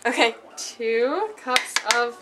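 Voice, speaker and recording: A woman's voice: a little high, expressive, slow pace, heavy background noise. A young woman chatting playfully while she cooks in a home kitchen, filmed on a camera nearby. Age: young adult. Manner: playful, giggly. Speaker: daycare worker cooking. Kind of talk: casual chat while cooking. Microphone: camera mic at a short distance.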